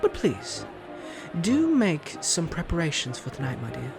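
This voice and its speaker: An actor playing a suave, smug, flirtatious prince in a romantic roleplay audio drama, teasing and haughty with a sinister edge. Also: male voice, fairly high pitch, huge pitch swings, measured pace, vocal fry, exaggerated voice. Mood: silky, menacing. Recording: music under the voice.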